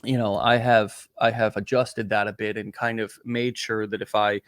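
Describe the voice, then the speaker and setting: A man's voice: lowish voice, flat delivery, moderate pace, no echo. Rambling male podcast host, dry sound. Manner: rambling, repeats words while searching for phrasing. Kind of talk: conversational interview.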